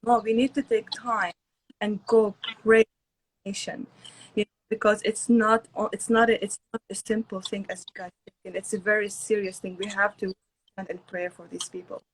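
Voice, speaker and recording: A woman's voice: mid-range voice, flat delivery, moderate pace, breathy edge, low-quality recording. A woman from Lebanon speaking earnestly and emphatically on a casual live-stream chat, often restarting her sentences. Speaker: guest.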